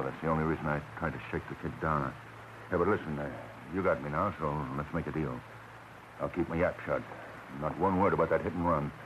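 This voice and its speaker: An actor playing a fat, fortyish, cowardly blackmailer in 1940s radio style, stammering nervously and haltingly. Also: male voice, rumbling low. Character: fat fortyish male blackmailer, shifty, cornered, cowardly crook. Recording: muffled, noisy old radio recording.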